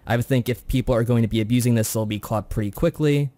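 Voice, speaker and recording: A man's voice: medium pitch, quick pace, warm tone, no room sound. Man co-hosting, dry close sound. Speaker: podcast co-host. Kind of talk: casual discussion.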